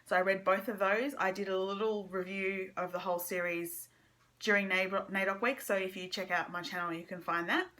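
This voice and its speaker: A young woman giving a casual, halting book review to camera, informally trailing off and circling back. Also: medium pitch, steady tone, bright, forceful tone. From Australia.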